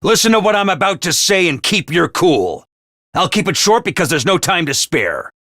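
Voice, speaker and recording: A man voicing a brisk, ruthless academy commander in a video game, barking orders and announcements. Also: high voice, very animated, pushed and bright, no echo, clean studio sound, heavily acted. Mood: analytical. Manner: clipped orders and announcements, drill-sergeant bark. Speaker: voice actor in a game.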